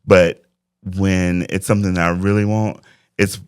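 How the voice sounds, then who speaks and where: A man's voice: low-pitched, little pitch movement, moderate pace, clear, resonant tone, dry recording, studio quality. A man in his forties speaking as an interview guest and life coach, talking reflectively about faith and planning. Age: 40s. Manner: reflective, faith-driven talk. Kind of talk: casual interview conversation.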